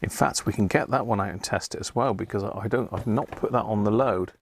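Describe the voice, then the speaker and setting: A man with an English accent and a gravelly voice, hosting a hobby electronics live stream in a chatty, rambling way, thinking aloud as he works. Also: fairly low pitch, fast talker. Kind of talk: casual live-stream chat while working at a bench.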